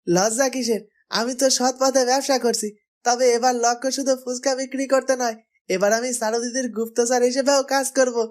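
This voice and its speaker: A woman's voice: a little high, steady tone, brisk, natural voice. A woman voicing a loyal, earnest young male street-food seller in a cartoon. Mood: earnest, determined. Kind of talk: cartoon voice acting.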